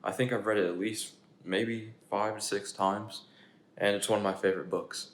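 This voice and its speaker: A man's voice: on the low side, fairly even intonation, normal speed. Young man reading aloud, echoey room. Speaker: storybook reader. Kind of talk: casual read-aloud of a children's picture book with asides. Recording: echoey room, faint background noise.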